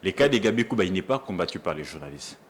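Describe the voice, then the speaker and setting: A man's voice: deep voice, very animated, fast. A man with a Congolese accent, a journalist arguing emphatically as a debate guest, often repeating his phrases for weight. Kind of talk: animated political debate.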